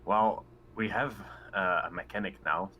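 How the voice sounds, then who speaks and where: Deep-voiced, hesitant man at a game. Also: highs cut off, a little hiss or hum. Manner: hesitant, halting. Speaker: player in a tabletop game. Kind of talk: casual chat.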